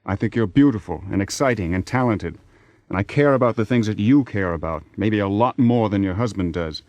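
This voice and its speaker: An actor in a radio drama playing a persistent, impatient theater man, smooth-talking and sardonic as he presses his case. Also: on the low side, expressive, a little fast, a little mellow, no echo, natural voice. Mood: insistent. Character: persistent, persuasive theater man seducing a married woman, impatient. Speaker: lead actor.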